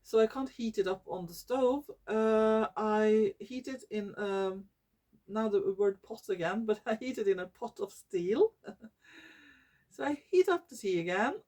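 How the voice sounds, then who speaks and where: A Norwegian woman vlogging in English, her second language, often pausing to search for words and correcting herself. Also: medium pitch, expressive, darkish tone. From Norway. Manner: slow and searching for English words, many fillers and self-corrections. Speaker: YouTuber. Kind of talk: casual talking-head vlog.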